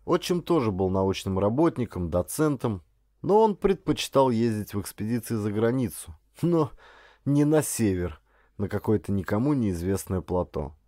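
A man reading a first-person literary story aloud as an audiobook narrator, in an expressive storytelling manner. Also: lowish voice, no room sound, studio quality.

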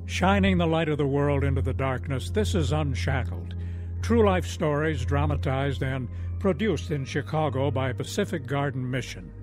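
A man's voice: mid-range voice, normal speed, over music. A man giving scripted old-time radio narration as a drama announcer, in an earnest, dramatic way.

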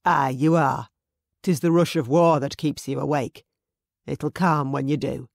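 A narrator voicing a protective, commanding Scottish Highland warrior chief who gives firm, clipped orders in a Scottish accent. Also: fairly even intonation, unhurried, gravelly, no room sound, studio quality. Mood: tender commanding.

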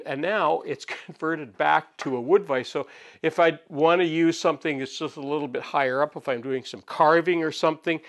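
Older man, 60s, woodworking host, chatty and relaxed, slightly high voice, chuckles as he talks, home workshop. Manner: chatty, relaxed, chuckles often while explaining. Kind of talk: casual workshop demonstration. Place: home woodworking shop, indoors.

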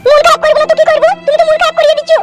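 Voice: very high-pitched, little pitch movement, rapid-fire. A performer voicing a plaintive, complaining young woman in a comedy cartoon. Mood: annoyed. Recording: loud music under the voice.

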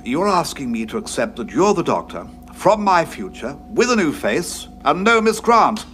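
An actor playing a brisk, loyal British military brigadier in an audio drama, with clipped, old-fashioned officer's bluster and a British accent. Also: male voice, mid-range voice, very animated, a little hiss or hum, close to an everyday voice. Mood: resolute. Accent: British English.

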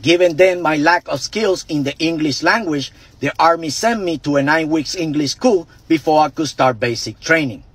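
A man in his fifties from Puerto Rico with a Puerto Rican Spanish accent, a native Spanish speaker telling a story from his car in a measured, deliberate way. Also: on the high side, a bit bright, breathy edge, no echo. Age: 50s. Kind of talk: storytelling to camera. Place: parked car. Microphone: phone camera close up, earpiece in.

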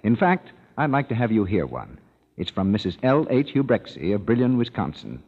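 A man's voice: on the low side, sing-song, moderate pace, breathy edge. A man reading a cheerful sponsor message as a radio announcer, persuasive and instructive. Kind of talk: 1930s radio commercial reading. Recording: muffled 1930s radio broadcast in a hall.

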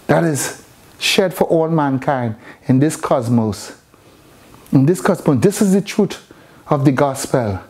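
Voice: medium pitch, a little mellow. A preacher delivering an impassioned, exhorting sermon, repeating phrases for emphasis.

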